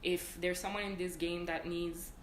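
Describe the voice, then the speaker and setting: A woman's voice: lowish voice, little pitch movement, unhurried, slight background noise. A young woman with a Quebec French accent co-hosting a casual chat, switching between French and English mid-sentence. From Montreal. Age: young adult. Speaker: co-host. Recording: echoey room with faint ambience.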